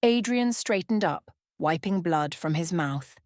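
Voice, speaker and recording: A woman's voice: fairly low pitch, expressive, unhurried, darkish tone, no echo, studio quality. A woman storyteller reading a scripted bedtime tale in an ASMR narration style.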